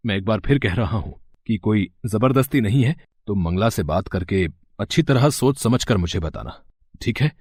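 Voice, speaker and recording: A man playing a kind, wealthy middle-aged village landlord, measured, earnest and reassuring, in a moral story drama. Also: lowish voice, expressive, a little mellow, husky, dry recording.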